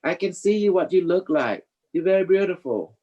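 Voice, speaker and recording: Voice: medium pitch, lively intonation, normal speed, warm tone, slightly rough, dull audio. A psychic reader hosting a casual livestream, boastful and playful, rambling in non-standard English grammar. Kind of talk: casual improvised psychic reading chat.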